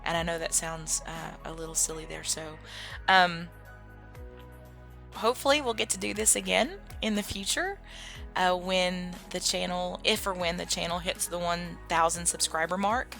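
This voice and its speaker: A woman's voice: fairly low pitch, normal speed, vocal fry, a bit bright. An American woman chatting casually to her followers as an online channel host, informal and a little hesitant and self-effacing. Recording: music bed behind the voice. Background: background music.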